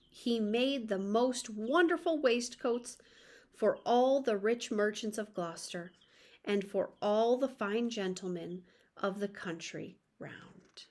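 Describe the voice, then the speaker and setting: A woman reading a children's story aloud in an expressive storytelling manner. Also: medium pitch. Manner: expressive, addresses young listeners.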